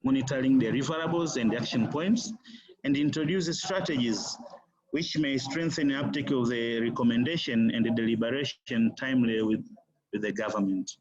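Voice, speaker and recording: Male presenter, stop-start delivery, dull lo-fi line. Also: medium pitch. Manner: halting, repeating words. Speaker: webinar presenter. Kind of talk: finishing a slide presentation.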